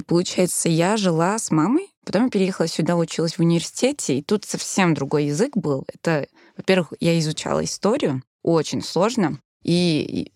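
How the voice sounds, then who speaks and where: A woman who grew up in Denmark and speaks Danish as her first language. She tells stories with lively energy as an interview guest. Her voice sits low and is recorded close, with no room sound. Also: vocal fry, no room sound. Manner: lively storytelling with frequent laughter. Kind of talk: casual interview chat.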